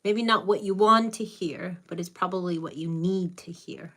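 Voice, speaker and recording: A woman's voice: lowish voice, normal speed, fairly bright tone. A woman with a Spanish accent, Spanish her first language, giving blunt, direct, motherly advice to viewers on a live stream.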